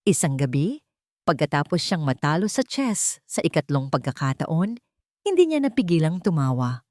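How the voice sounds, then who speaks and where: A woman's voice: sits low, sing-song, normal speed, a little mellow, dry recording, clean studio sound. A woman storyteller narrating a scripted romantic story.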